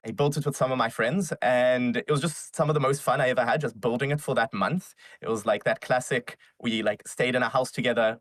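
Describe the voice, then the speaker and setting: A man in his twenties from South Africa, telling his story as an interview guest in a casual, energetic way. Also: mid-range voice, no echo. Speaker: guest, startup founder.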